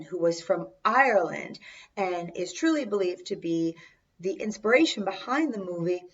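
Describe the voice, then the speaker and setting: A woman with a raspy, congested voice hosting a video, chatting casually to viewers with conversational, emphatic asides. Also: mid-range voice. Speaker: host of a video channel. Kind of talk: casual chat to viewers, recounting film trivia. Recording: distant mic, dull lo-fi sound.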